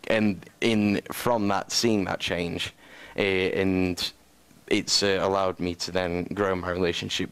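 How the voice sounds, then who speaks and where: A man with a very deep, gravelly voice talks in a flat, level way. He answers haltingly, filling gaps with "uh" as he describes running a children's group. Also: rough recording. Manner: hesitant, many "uh" pauses. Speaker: children's ministry leader. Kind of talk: casual interview answers.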